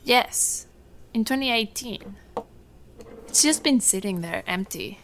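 A woman playing a hospitable, gently teasing mother and grandmother in a naturalistic audio drama. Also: mid-range voice, animated, slow pace. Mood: matter-of-fact. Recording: noisy dinner-table scene, clinking dishes. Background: clinking cutlery and dishes.

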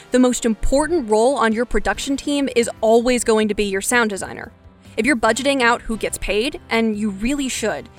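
A woman hosting a podcast, talking through a scripted explainer in a direct, instructive way. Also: fairly high pitch. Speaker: podcast host.

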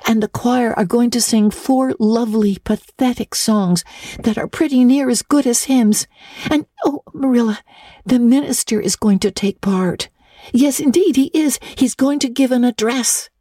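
A woman's voice: fairly high pitch, moderate pace, mellow, no echo, clean studio sound, voice pushed up, natural voice. A woman voicing an eager, imaginative young girl in a storybook reading, breathless, gushing and earnest as she pleads. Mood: pleading. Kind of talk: dramatic audiobook reading of classic literature.

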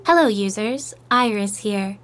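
A woman's voice: medium pitch, lively intonation, measured pace, close to an everyday voice. A woman playing a cheerful artificial intelligence matchmaking host in an audio drama, speaking in scripted, upbeat stock phrases. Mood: cheerful, polite.

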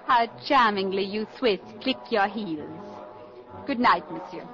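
An actress playing a shrewd, imperious countess in a 1950s radio drama, poised, teasing and commanding. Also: female voice, mid-range voice, drawn-out words, a bit bright. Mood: gracious, teasing. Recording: dull 1950s radio broadcast recording.

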